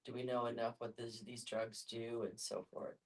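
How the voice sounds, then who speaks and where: A participant puts questions in a group discussion with deliberate, searching phrasing. The voice is of medium pitch. It is picked up from some way off the microphone. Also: flat delivery. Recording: distant mic.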